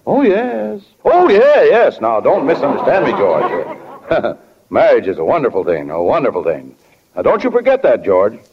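A man's voice: mid-range voice, huge pitch swings, normal speed, a crowd in the background. An actor playing a kindly, flustered middle-aged small-town father in 1940s radio drama, hesitant and folksy, often fumbling for words. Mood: reassuring. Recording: dull, lo-fi 1940 radio recording.

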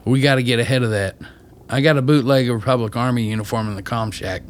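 A man's voice: mid-range voice, steady tone, quick pace, slight background noise, lightly acted. Guy doing a cocky country smuggler, mid voice, slangy and salty, radio play. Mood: urgent, decisive. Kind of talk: radio drama acting.

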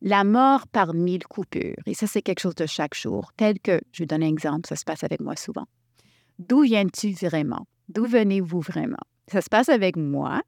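A woman from Quebec leading a workshop, talking in an animated way and restarting her sentences often. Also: on the low side, moderate pace, vocal fry, no echo, clean studio sound. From Quebec, Canada. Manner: animated, thinks aloud with many restarts. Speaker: workshop facilitator. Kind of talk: workshop talk.

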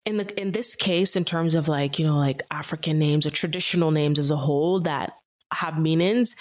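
A woman's voice: deep voice, moderate pace. A young woman from Nigeria with a Nigerian accent mixed with Canadian, talking casually as an interview guest and filling her speech with "like" and laughs. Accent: Nigerian with Canadian mix. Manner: chatty, many "like" fillers, laughs often.

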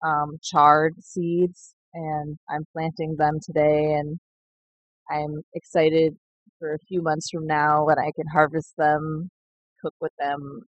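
Slow, flat-toned reflective woman. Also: low voice, breathy edge, dry recording, lo-fi sound. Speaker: seed collective member. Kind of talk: recorded personal reflection.

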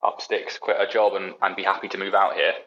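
A young man from the UK talks casually as a podcast guest. His stories ramble along through restarts and self-deprecating asides. His voice sits fairly low, and the recording sounds dull and lo-fi. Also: level tone, fairly quick, a bit bright. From United Kingdom. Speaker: podcast guest, racing engineer. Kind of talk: casual chat.

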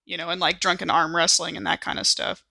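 A woman's voice: lowish voice, fairly even intonation, fast, vocal fry, sharp tone, dry recording. A woman casually improvising as a player in a tabletop roleplaying game, in loose conversational talk.

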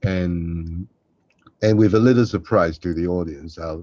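A man chatting casually as a co-host in halting, short phrases, on a muffled recording. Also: deep voice, warm tone, no echo. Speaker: podcast co-host and voice actor. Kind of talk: casual chat. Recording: dull, muffled lo-fi sound.

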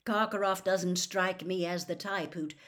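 A woman voicing a troubled adult male wizard who gives earnest, hurried warnings in a storybook reading. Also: on the low side, fairly even intonation, fairly bright tone. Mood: urgent.

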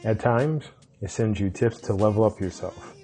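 A man's voice: lowish voice, normal speed, mellow, soft background music. A man hosting a podcast, speaking as an interviewer introducing and chatting with a guest. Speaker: podcast host. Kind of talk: scripted intro, then casual interview.

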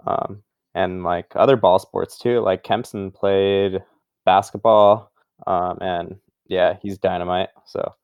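A man's voice: sits low, slow, smooth, no echo, dull audio. A young man from Brazil, a guest in a casual interview, talking loosely and filling his speech with "like" and "um". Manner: relaxed, loose, leans heavily on "like" and "um".

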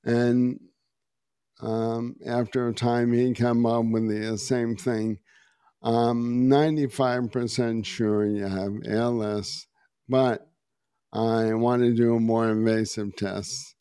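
Middle-aged man, hoarse and raspy, low mellow tone, halting delivery, even and flat. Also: dry recording, clean studio sound. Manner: slow and halting, many uh and um. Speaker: podcast guest, meditation teacher. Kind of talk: personal story in conversation.

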